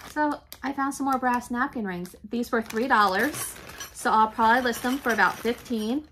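A woman, chatting casually in a home craft room, slightly raspy and congested from allergies, clearing her throat now and then. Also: fairly high pitch, a bit bright, slight background noise. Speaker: reseller vlogger. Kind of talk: casual shop-with-me haul chat.

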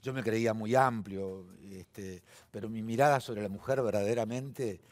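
Older Argentine man, low and emphatic. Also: normal speed. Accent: Argentine Spanish. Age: 70s. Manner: emphatic, hesitant with frequent fillers and restarts. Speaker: interview guest. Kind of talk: television interview. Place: television studio.

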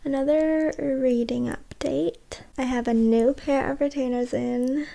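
Young woman, book vlogger, higher voice, slight lisp, gravelly fry, casual chat, bedroom mic. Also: fairly even intonation, measured pace, dark tone. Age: young adult. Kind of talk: casual reading vlog chat. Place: bedroom, indoors. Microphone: desktop or handheld condenser mic, close.

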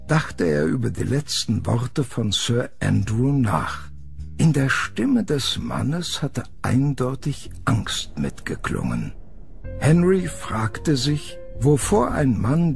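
A man narrating a scripted horror story in a measured, expressive storytelling manner. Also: mid-range voice, gravelly.

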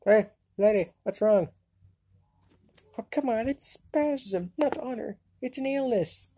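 A woman voicing an excitable, sarcastic anime girl in an amateur cartoon fandub. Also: fairly high pitch, takes it slowly, breathy edge, exaggerated voice. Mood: excited, alarmed.

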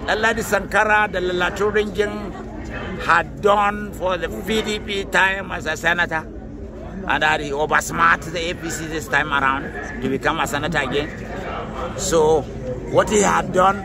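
A man with a Nigerian accent, a political activist giving emphatic, grandiose street-interview answers outdoors. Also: high voice. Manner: emphatic, grandiose, repeats himself. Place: outdoors on an urban street near traffic.

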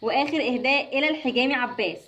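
Fast high-pitched Egyptian woman. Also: fairly bright tone, muffled recording. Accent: Egyptian Arabic. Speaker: host of a children's toy channel. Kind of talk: casual unboxing narration for children.

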